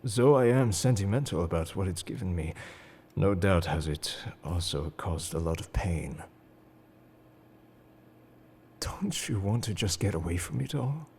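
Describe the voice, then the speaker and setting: A man playing a suave, enigmatic, quietly world-weary secret agent in an intimate, flirtatious audio roleplay, speaking to the listener in a coaxing, theatrical way. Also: deep voice, expressive, moderate pace, slight background noise. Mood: weary, earnest. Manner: smooth-talking, coaxing, theatrical. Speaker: voice actor in fan audio roleplay.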